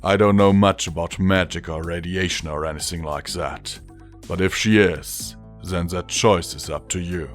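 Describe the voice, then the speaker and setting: A man voicing a weary, caring father pony in a storybook, speaking in a measured, quiet, gentle way. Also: sits low, steady tone, faint music behind, close to an everyday voice. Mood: gentle, serious.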